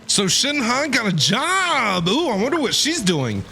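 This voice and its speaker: Bright, animated game streamer. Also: medium pitch, a little slow, vocal fry. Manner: enthusiastic, playful, casual slangy talk. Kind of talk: excited gameplay reaction and commentary. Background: game music.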